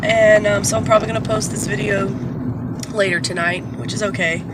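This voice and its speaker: A woman chatting casually to her viewers from her car, congested with allergies and sounding deeper than usual, rambling with fillers. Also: brisk. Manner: rambling, loose, with many fillers. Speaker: vlogger. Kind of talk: casual vlog chat. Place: parked or driving car, morning. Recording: steady car noise behind.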